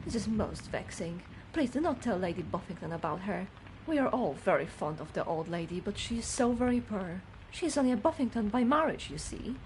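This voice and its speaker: A prim and loyal female servant of a country manor , acted by a woman for a video game. She speaks formally and is easily affronted, with a very lively pitch. There is faint noise in the recording. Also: natural voice. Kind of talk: video game character acting.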